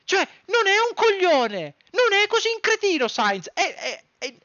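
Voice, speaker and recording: A man's voice: extremely high pitch, big pitch swings, unhurried, bright, forceful tone, no room sound, highs cut off. A man delivers heated, exasperated, foul-mouthed commentary to camera, hammering home points by repeating phrases. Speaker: sports content creator. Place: small dim room at home.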